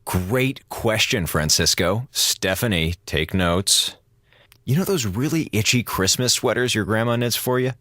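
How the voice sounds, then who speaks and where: A man's voice: lowish voice, lively intonation, a little slow, bright, forceful tone, dry recording, studio quality. An American man playing a sarcastic, grumbling space station communications officer, flippant and exasperated, delivering a radio drama monologue. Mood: sardonic. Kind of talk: audio drama monologue, mock radio address to schoolchildren.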